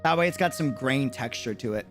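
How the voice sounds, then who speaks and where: A man's voice: mid-range voice, lively intonation, brisk. A man chatting casually and musingly on a game livestream in slangy speech, with game music behind him. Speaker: game streamer.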